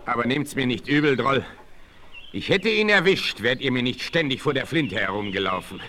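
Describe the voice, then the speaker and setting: Casting: towering good-natured western leader, animated and bright, commanding, faintly noisy old vinyl. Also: male voice, mid-range voice, normal speed. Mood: wry, calm. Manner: commanding, emphatic. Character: towering male frontiersman hero, commanding, gruffly good-natured. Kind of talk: 1960s German western radio play acting. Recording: 1968 vinyl record, faint noise.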